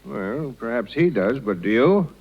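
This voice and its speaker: Pompous small-town gentleman, muffled old radio. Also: medium pitch, expressive, slightly rough. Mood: stern, pompous. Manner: formal, pompous, measured. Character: stuffy, coldly polite small-town gentleman, disapproving, pressuring. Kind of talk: 1930s radio drama acting. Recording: muffled 1930s radio broadcast.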